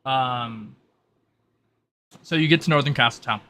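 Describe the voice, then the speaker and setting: A man improvising narration as game master, explaining the scene in a halting, conversational way. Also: mid-range voice, pushed and bright, a little hiss or hum. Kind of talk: improvised narration of a tabletop roleplay.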